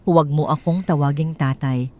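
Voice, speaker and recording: A woman's voice: low voice, steady tone, moderate pace, warm tone, natural voice. A woman voicing a frail old father whose voice trembles with pain as he disowns his son. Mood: bitter.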